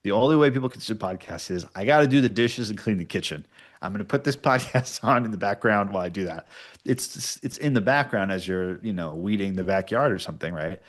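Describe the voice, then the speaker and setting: A man's voice: lowish voice, a little fast. A man speaking informally and a little self-deprecatingly as a guest writer-producer in a casual interview. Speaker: guest writer-producer of podcast audio dramas.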